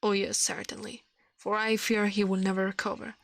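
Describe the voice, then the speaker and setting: Woman reading as a smug, scheming shadow gentleman. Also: mid-range voice, level tone, moderate pace, creaky, dry recording, close to an everyday voice. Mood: feigned sorrow. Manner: imperious, falsely reasonable.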